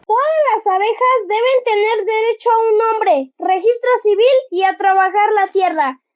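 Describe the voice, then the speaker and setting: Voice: extremely high pitch, normal speed. A child acting as an earnest bee leader in a school audio drama, speaking in a solemn, declamatory way. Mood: solemn, rallying. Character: earnest bee leader rallying the hive to reform. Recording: dull, lo-fi recording.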